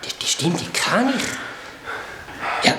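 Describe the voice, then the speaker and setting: An actor voicing a brave young adventurer who leads the way, speaking Swiss German eagerly and often in a hushed whisper. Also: measured pace, lightly acted. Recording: heavy background noise.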